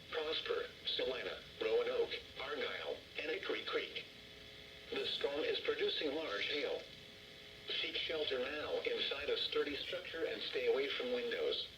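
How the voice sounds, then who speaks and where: A synthetic male text-to-speech voice reading an emergency weather warning bulletin in a formal, measured, impersonal manner over weather radio. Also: medium pitch, very noisy. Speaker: automated weather radio announcer. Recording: echoey weather radio broadcast.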